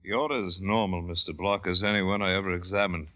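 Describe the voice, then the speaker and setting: An actor playing a calm, matter-of-fact doctor in an old radio drama, speaking in a measured, clinical way. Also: male voice, low voice, close to an everyday voice. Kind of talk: 1940s-50s radio drama acting. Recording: dull old radio recording.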